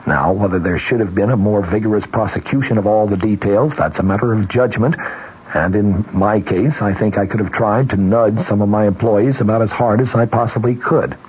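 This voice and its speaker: An American man reading scripted radio news in a measured, formal manner. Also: on the low side, breathy edge. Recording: dull 1970s radio broadcast recording.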